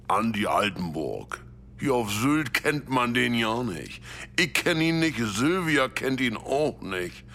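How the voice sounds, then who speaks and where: An actor playing a blunt, irritated ex-celebrity at a luxury clinic, giving casual, dismissive interview answers. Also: male voice, medium pitch, sing-song, takes it slowly, bright, forceful tone, a little hiss or hum, exaggerated voice.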